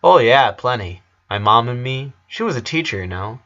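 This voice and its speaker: A man's voice: mid-range voice, big pitch swings, moderate pace, a bit bright, lo-fi sound. Man voicing a chatty, wistful teenage boy. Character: weary, friendly fourteen-year-old boy, well-travelled.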